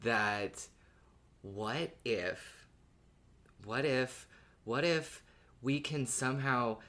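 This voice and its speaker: A woman co-hosting a podcast in casual, excitable, giggly chat. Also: extremely low pitch, extremely slow. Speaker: podcast co-host.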